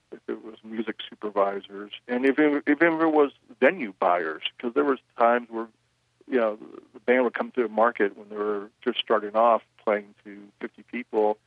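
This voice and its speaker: A man who runs a record label, answering interview questions in a measured way, with frequent "you know" fillers. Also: fairly low pitch, gravelly, no echo, narrow, tinny audio.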